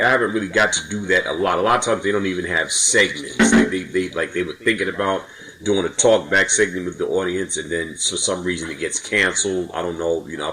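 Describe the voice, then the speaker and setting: A man's voice: sits low, pushed and bright, rough recording. A man co-hosting a podcast, recalling things casually in a hesitant, rambling way. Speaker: podcast co-host. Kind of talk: casual conversational recollection.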